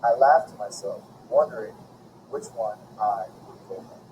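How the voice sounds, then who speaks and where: A man reading a story aloud expressively, with deliberate, animated phrasing and dramatic pauses. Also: lowish voice, rough recording. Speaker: reader. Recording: faint background noise.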